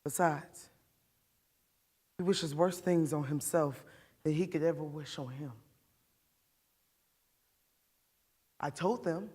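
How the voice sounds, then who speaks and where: A woman playing an embittered, wounded mother in a dramatic stage monologue, halting and defensive, throwing out pointed questions. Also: low voice, warm tone, breathy edge, voice pushed down. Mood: tense, subdued. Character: embittered, wounded mother deciding her attacker's punishment.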